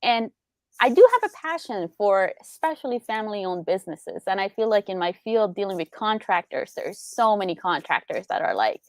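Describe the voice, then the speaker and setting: A woman's voice: mid-range voice, animated, moderate pace, no echo, clean studio sound. A young adult woman from Iran, a business attorney, explaining in casual interview chat with lots of rhetorical questions.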